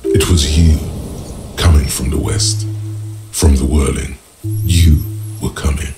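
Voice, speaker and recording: A man voicing a solemn inner voice of a detective's mind in a video game, grave, deliberate and portentous. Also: a bass voice, fairly even intonation. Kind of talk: dramatic video game narration. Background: music.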